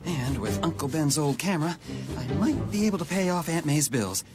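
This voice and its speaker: Male actor as young superhero, highish voice, very lively, cocky quips, radio play, loud score behind. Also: moderate pace, lightly acted. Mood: wry, determined. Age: young adult. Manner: wisecracking, breezy. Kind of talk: radio drama acting. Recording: loud music under the voice.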